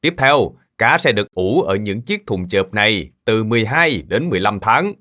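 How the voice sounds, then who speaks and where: A man's voice: on the low side, very animated, normal speed, bright, forceful tone. A man playing a kindly middle-aged uncle in a cartoon, patiently explaining things to children. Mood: patient, instructive. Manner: patient, instructive. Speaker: fish sauce maker explaining to children.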